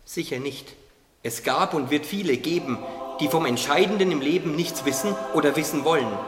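A man voicing a wise, gently teasing caterpillar in a radio play, explaining things earnestly. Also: on the high side, moderate pace, close to an everyday voice. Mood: earnest, reassuring. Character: wise, teasing caterpillar confidant, calmly preaching hope. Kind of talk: German religious audio drama acting. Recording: echoey reverberant hall, music underneath.

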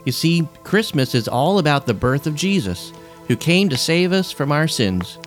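Man playing a good-humored dad, higher-pitched voice. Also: sing-song, moderate pace, faint music behind. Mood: warm, sincere. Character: good-humored family dad, cheerful and sincere. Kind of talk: church audio drama acting.

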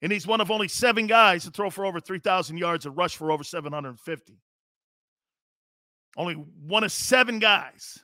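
A high-voiced male sports talk host with a bright, forceful tone. He speaks emphatically, reading out listener messages and chatting with a guest. The sound is close and dry from a studio. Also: moderate pace, dry recording. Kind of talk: sports talk radio hosting.

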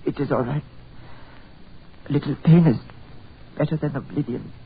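A thin, hissy vintage radio recording carries a cultured Frenchman, his voice a little high. He speaks with a French accent in formal, theatrical phrases, proud and eloquent, as in a wartime horror serial. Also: moderate pace, creaky. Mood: pained, steady. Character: erudite, proud Frenchman driving foreign travellers, moodily eloquent. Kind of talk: 1940s radio horror acting. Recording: muffled, noisy 1940s radio recording.

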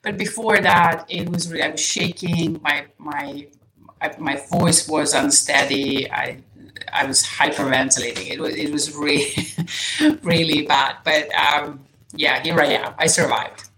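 A woman from Serbia whose native language is Serbian, a podcast guest speaking in a casual interview, soft-spoken and hesitant, restarting often and laughing lightly. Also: low-pitched, fairly even intonation, measured pace, poor audio. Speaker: guest, nutrition coach.

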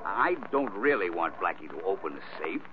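An actor playing an earnest, persistent film studio supervisor in an old-time radio drama, businesslike and persuasive. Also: male voice, sits low, very animated, normal speed. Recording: noisy, dull vintage radio recording.

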